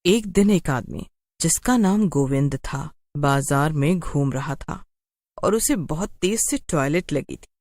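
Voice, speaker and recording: A woman's voice: low voice, animated, a little slow, darkish tone, no echo, studio quality. A woman narrating a scripted cartoon story in a dramatic storytelling style.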